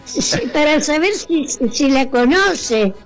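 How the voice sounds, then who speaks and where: A woman's voice: high voice, lively intonation, slow pace, poor audio. An older woman from Calabria, chatting casually and hesitantly, repeating words and mixing with Spanish. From Calabria, Italy. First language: Italian or Calabrese. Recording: music playing behind the voice.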